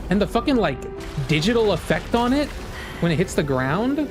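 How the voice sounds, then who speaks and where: Higher-voiced man reacting excitedly. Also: moderate pace. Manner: excitable, rambling, slangy. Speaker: video game reaction host. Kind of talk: casual live reaction commentary. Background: music from the game trailer.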